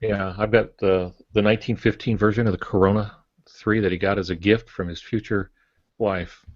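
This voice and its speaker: A man's voice: on the low side, fairly even intonation, normal speed. An older man chatting casually as a podcast co-host, on a dull lo-fi recording. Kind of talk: casual chat.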